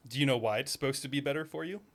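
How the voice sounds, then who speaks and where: A man's voice: medium pitch, rapid-fire. A man hosting a podcast, chatting casually and curiously, at times reading facts aloud from a search. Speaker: podcast host. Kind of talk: casual chat. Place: room, with a dog nearby.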